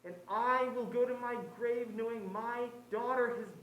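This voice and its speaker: Guy acting a solemn rich old father, high voice, very formal stilted speech, echoey stage. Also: fairly even intonation, normal speed, a bit bright. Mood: solemn, resigned. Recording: echoey stage, faint noise.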